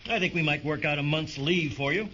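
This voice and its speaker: An actor playing a tense but fair army major who gives clipped, authoritative orders in a science fiction radio drama. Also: male voice, on the high side, a little fast, a little hiss or hum. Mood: apologetic, relieved. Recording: dull radio play recording under loud music.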